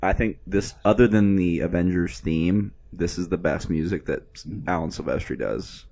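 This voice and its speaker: A man's voice: low-pitched, moderate pace, darkish tone, slight background noise. A man giving casual, enthusiastic, sweary reaction commentary on a film as its host. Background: movie music.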